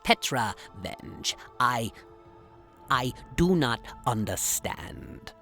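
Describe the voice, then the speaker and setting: Very slow, creaky alien warden. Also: male voice, medium pitch, very animated, sharp tone, faint music behind, heavily acted. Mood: startled, uneasy. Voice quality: gravelly, creaky. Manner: halting, drawn-out speech with questioning tags. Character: deferential, scheming alien prison warden, hesitant and halting.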